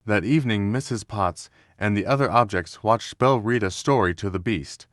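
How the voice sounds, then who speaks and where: Male storybook narrator, scripted read, fairly deep, measured drama, no room sound. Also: no room sound, clean studio sound.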